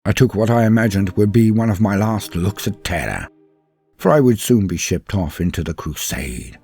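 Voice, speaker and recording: A man narrating a story in a solemn, theatrical, dramatic style with a husky voice and drawn-out phrases. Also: lowish voice, lively intonation, a little mellow. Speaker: storyteller narrating fan fiction. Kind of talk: dramatic scripted first-person narration. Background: music.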